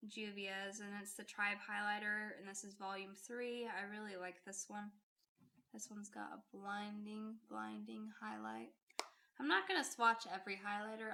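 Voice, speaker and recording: A woman's voice: medium pitch, creaky, sharp tone, a little hiss or hum. A woman hosting a casual makeup vlog, chatty and friendly, her voice still a little off from a recent illness. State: recovering from illness, voice off. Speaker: beauty YouTuber.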